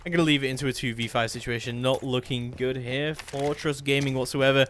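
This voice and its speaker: A male esports play-by-play commentator with a mid-pitched voice. He describes the match in a flowing, detailed stream, with noticeable noise and clicks behind him. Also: fairly even intonation, fairly quick, a bit bright.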